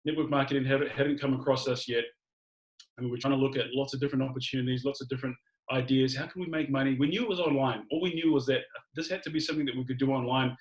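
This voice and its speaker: Aussie guy, talks quick, mid-pitched, telling his story straight to the listeners, repeats himself. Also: steady tone, low-quality recording. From Australia. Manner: repeats phrases, addresses listeners directly. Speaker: guest speaker telling personal story. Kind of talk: conversational testimonial storytelling.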